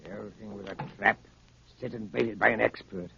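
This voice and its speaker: An actor playing a deferential criminal henchman with a gravelly voice, in 1930s radio style. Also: lowish voice, steady tone, a little fast. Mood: dutiful. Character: deferential criminal henchman reporting to his boss. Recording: dull, hissy 1930s radio broadcast.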